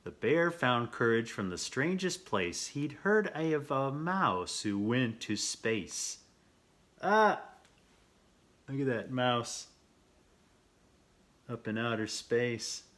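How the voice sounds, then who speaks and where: A man reading a children's picture book aloud as host, playful and theatrical, with expressive asides and questions. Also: mid-range voice, slow, long pauses. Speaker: storyteller, host of a read-aloud show.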